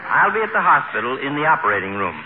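An actor voices a wry middle-aged doctor who delivers his quips deadpan. His pitch swings lively and his tone stays mid-range. The sound is thin, like a vintage radio broadcast, and carries heavy hiss. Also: fast, narrow, tinny audio, lightly acted. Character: dry-witted middle-aged small-town doctor. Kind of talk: 1940s radio sitcom acting.

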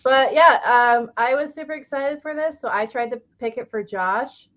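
A woman's voice: high-pitched, normal speed, a bit bright, highs cut off. A woman co-hosting a casual book club chat, rambling through thoughts with many fillers and frequent laughter.

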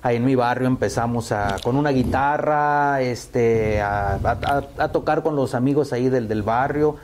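A man's voice: medium pitch, normal speed, darkish tone, a little hiss or hum. A Mexican man in his fifties, a musician answering interview questions in a hesitant way, full of fillers and repeated words. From Sonora, Mexico. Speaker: musician guest.

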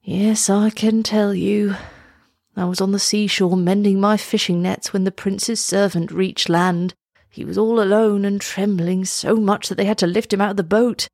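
A woman voicing a kindly, gossipy old villager man with a shaky, drawn-out delivery in a children's storybook. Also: mid-range voice, steady tone, darkish tone, dry recording, clean studio sound, natural voice. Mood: earnest.

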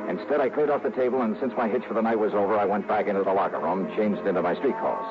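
An actor playing a wry, world-weary private investigator, narrating in clipped, confiding 1960s hardboiled radio drama style. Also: male voice, on the low side, quick pace, lightly acted. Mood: intent, confiding. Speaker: lead actor and narrator of a detective series. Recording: muffled old radio broadcast with music.

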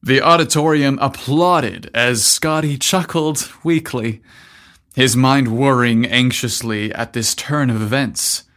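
Slow-paced male story narrator. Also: medium pitch. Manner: expressive storytelling, acts out dialogue. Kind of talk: scripted fiction narration.

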